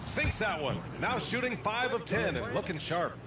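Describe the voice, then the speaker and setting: An actor playing a polished TV play-by-play announcer calling a basketball video game. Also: male voice, high voice, very animated, normal speed, very noisy. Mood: focused. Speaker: play-by-play sports commentator. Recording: distant, echoey, loud music over game audio. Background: loud music, bouncing basketball.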